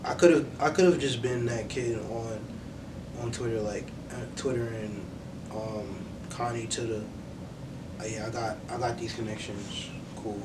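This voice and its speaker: Young male interview guest, earnest and reflective, conversational, average pitch, recorded off-mic over clear noise. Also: fairly even intonation, unhurried, recorded from a distance. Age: young adult. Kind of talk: casual sit-down interview. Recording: roomy, off-mic, noisy with low rumble.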